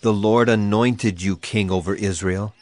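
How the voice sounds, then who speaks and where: An actor playing a stern old prophet in a dramatized scripture reading, grave and declamatory. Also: male voice, fairly low pitch, steady tone, unhurried, close to an everyday voice. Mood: stern, reproachful. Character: stern old male Hebrew prophet rebuking a king.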